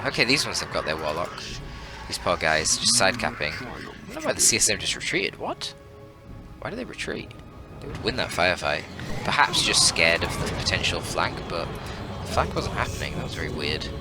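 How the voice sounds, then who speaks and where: A man giving live, analytical and conversational commentary on a strategy game, as a shoutcaster does. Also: sits low. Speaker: esports shoutcaster. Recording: voice over loud game audio. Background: loud game music and battle sounds.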